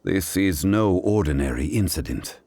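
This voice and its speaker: An actor playing a composed, erudite gentleman in a video game, speaking in a measured, formal and courteous way. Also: low voice, expressive, slightly rough, no echo, exaggerated voice. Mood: grave, thoughtful.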